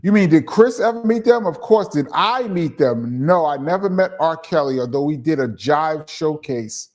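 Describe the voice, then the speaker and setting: A man's voice: a little high, lively intonation, moderate pace, a little mellow. A middle-aged man from Brooklyn with a New York accent, casually hosting a live stream and answering viewer questions in a laid-back, chatty way. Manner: laid-back, chatty, laughs and says um often. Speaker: host of a live chat show.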